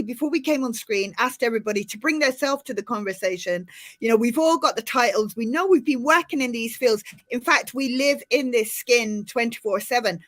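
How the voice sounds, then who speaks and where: A middle-aged Irish woman with a fairly high voice hosts a relaxed panel conversation over a video call. Her accent has softened over the years, and she talks in a chatty, animated way, often restarting her sentences. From Ireland. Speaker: host. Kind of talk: casual panel chat.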